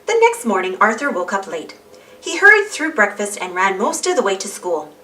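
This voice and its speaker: A woman reading a children's storybook aloud in an animated narrating style. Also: mid-range voice, normal speed, far from the mic, poor audio. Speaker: storybook reader.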